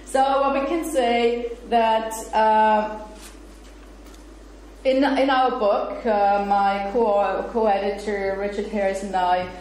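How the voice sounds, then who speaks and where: A woman's voice: medium pitch, fairly even intonation, slow, a little mellow. A middle-aged woman whose first language is German, giving an academic lecture in English and reading parts from her paper. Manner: laughs easily and chats with the audience between read passages. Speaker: guest lecturer. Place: bright lecture room with projector screen, indoors. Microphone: far camera, room mic. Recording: echoey room with faint noise.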